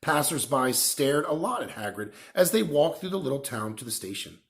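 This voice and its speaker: Man reading a story, very animated pitch. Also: normal speed. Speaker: story reader. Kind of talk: read-aloud children's novel narration.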